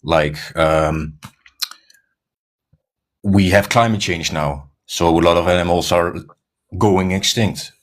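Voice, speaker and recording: A man's voice: low voice, slow pace, pauses often. A man who is a native Dutch speaker, talking in English with a Dutch accent as a guest, hesitant and full of fillers.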